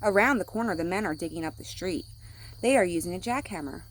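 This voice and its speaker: A woman's voice: fairly low pitch, expressive, quick pace, slight background noise. A woman reading a picture book aloud to children, speaking simply like a teacher to young listeners. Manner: acts out sound words.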